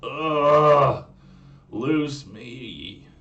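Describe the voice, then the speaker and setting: A man's voice: fairly low pitch, moderate pace, vocal fry, lo-fi sound. A man voicing a young mermaid princess in a whiny valley girl manner, in a storybook reading. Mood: pleading. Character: young woman, a proud sea princess and mermaid. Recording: roomy room, distant mic.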